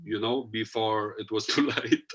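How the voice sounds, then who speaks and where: A man's voice: fairly low pitch, level tone, a little slow, a bit bright, husky. A man with a Polish accent, a DJ guest, speaking loosely with many fillers while thinking aloud. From Poland. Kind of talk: casual interview chat.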